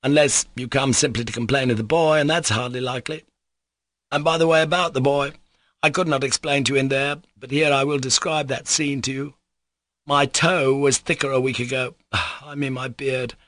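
A male narrator voices a ruined old-story army captain, a father who mocks himself. He talks in a raised, agitated pitch, fawning and theatrical, with a dry sound around him. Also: steady tone, normal speed, a bit bright, dry recording, lightly acted. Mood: confiding, agitated.

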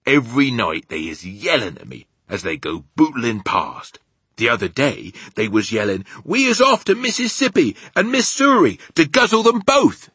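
A man voicing a gentle, sorrowful giant who talks in muddled, homely grammar full of invented words. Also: fairly low pitch, sing-song, moderate pace, breathy edge, no room sound, pitched above the performer's own voice, heavily acted. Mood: indignant.

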